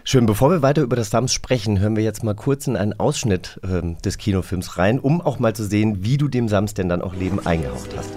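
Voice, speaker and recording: A man's voice: on the low side, expressive, fast talker, few pauses, dark tone. A man hosting a radio interview, talking casually and feeling his way through meandering questions. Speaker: radio magazine host, interviewer.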